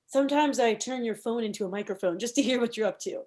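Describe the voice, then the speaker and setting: A woman's voice: fairly high pitch, fast talker, a little mellow, lightly acted. A woman playing a zealous, quirky, scheming campaign manager in a comedy script read. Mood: intense, matter-of-fact.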